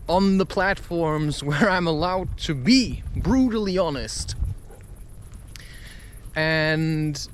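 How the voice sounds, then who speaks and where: High-pitched, animated man rambling slowly outdoors. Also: a little hiss or hum. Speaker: vlogger recording a spoken-word podcast. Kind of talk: rambling, confessional monologue to listeners. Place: outdoors, recorded while walking.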